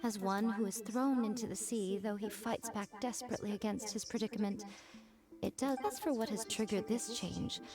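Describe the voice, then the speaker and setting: A woman's voice: medium pitch, fairly even intonation, music in the background, natural voice. A woman voicing a poised, mysterious noble shrine priestess in an anime game, speaking formally with wry amusement. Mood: grave. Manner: formal, measured, wryly amused. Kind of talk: anime video game voice acting.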